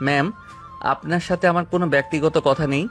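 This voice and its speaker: A man's voice: on the high side, fairly even intonation, fast, close to an everyday voice. A man acting a proud, hurt young bodyguard, formal and aloof, in a romantic audio story. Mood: cold, bitter. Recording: music behind the voice.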